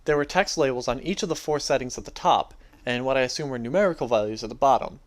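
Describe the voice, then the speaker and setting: A man's voice: medium pitch, fast. A young adult man giving a dramatic reading of his own first-person story.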